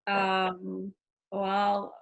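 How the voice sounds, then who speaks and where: A woman from Turkey whose first language is Turkish, a family coach telling her story softly with many fillers over a video call. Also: medium pitch, monotone, drawn-out words, gravelly, poor audio. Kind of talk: casual interview conversation.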